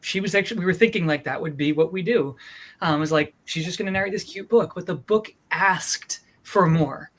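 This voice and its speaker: This is a voice actor and audiobook producer giving an informal presentation. The pitch sits in a middle range, and the delivery is conversational and enthusiastic. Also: fairly quick, a bit bright.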